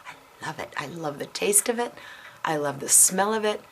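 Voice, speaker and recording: Chatty low-voiced middle-aged woman. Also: animated, moderate pace, slight background noise. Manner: chatty, frequent ums and self-corrections. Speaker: vlogger. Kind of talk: casual chat to camera.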